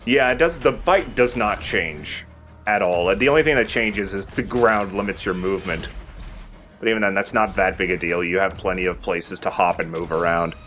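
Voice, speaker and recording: A man with a fairly low voice hosts a let's play over game music. He explains the play in a casual, conversational way and swears mildly now and then. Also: normal speed, a bit bright. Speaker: let's play host and player. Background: video game music.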